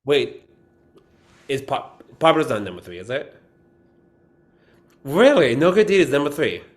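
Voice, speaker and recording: A man's voice: mid-range voice, very animated, a little slow. A man guesting on a podcast, chatting casually and thinking aloud with eager curiosity. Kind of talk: casual chat.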